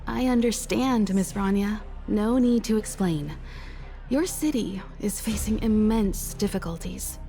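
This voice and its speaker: A woman's voice: medium pitch, a little slow, mellow, natural voice. A woman playing a composed, worldly mentor in a video game, courteous and diplomatic. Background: faint game music.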